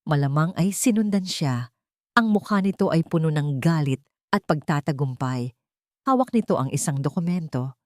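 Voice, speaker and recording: A woman narrates a scripted audio drama in a soft, solemn storytelling manner. Her voice sits low. The recording is close-miked and completely dry, with no room sound. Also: lively intonation, normal speed, dry recording.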